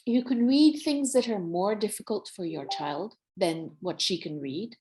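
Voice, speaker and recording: A woman's voice: mid-range voice, unhurried, darkish tone. A woman with a Nepali accent giving an educational talk in an instructive, encouraging and emphatic way. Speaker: workshop presenter.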